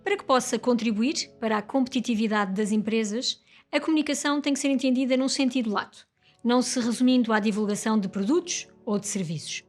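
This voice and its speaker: A woman narrates a corporate report from a script in a European Portuguese accent. Her voice sits somewhat high, with music playing behind it. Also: quick pace.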